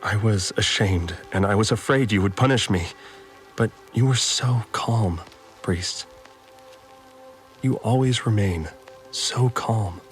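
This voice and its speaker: A male actor playing a troubled, obedient farmer confined on a spaceship, giving a halting, confessional audio diary in a science fiction drama. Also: lowish voice. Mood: ashamed, confessing. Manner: halting, repeats words, confessional. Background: music.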